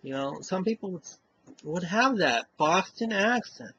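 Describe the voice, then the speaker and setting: A man's voice: high-pitched, expressive, measured pace, pushed and bright, husky, highs cut off. A man with a Western Massachusetts accent, talking casually to camera in a rambling way, often tacking on "you know?". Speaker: vlogger.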